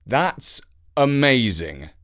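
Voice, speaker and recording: A mild, put-upon middle-aged dad from a children's storybook, read by a narrator. The pitch is medium with wide swings. He talks in a measured, slightly grumbling way. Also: very animated, a bit bright, exaggerated voice. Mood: surprised.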